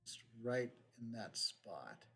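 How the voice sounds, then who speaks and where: A man's voice: mid-range voice, sing-song, takes it slowly, vocal fry, a bit bright, husky. A man giving casual gameplay commentary as a streamer, musing and thinking aloud. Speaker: game streamer. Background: game music.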